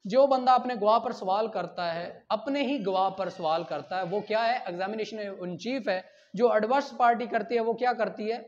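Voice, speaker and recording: A man's voice: high-pitched, moderate pace, a little hiss or hum. A man with a Pakistani accent lecturing on law in an informal way, explaining point by point, asking and answering his own questions and switching into English for legal text. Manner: asks questions and answers them himself. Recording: dull, muffled lo-fi recording.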